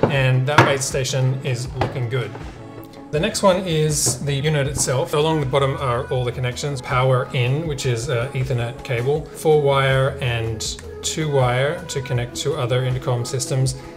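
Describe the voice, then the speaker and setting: A man's voice: mid-range voice, normal speed. Australian man, casual gear reviewer over music. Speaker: tech vlogger and director. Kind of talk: casual unboxing and review narration.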